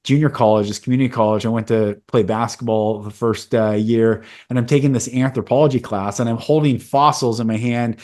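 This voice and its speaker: A man's voice: mid-range voice, steady tone, a little fast, few pauses, a little mellow. A man in his forties hosting a podcast, telling a personal story in an earnest, candid, casual way. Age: 40s. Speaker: podcast host. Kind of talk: casual conversation.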